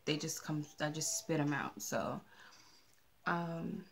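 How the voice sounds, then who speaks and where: A woman with a soft, mellow voice giving a casual channeled tarot reading, chatty and rambling with many fillers. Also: deep voice, takes it slowly, vocal fry, a little hiss or hum. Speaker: tarot reader. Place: candlelit room with a tarot table. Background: R&B music playing.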